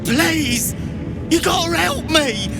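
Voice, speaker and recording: An actor voicing a panicked, pleading male goblin with a gravelly, squawking voice in a fantasy game. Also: very high voice, expressive, slow, loud music behind the voice, full character voice.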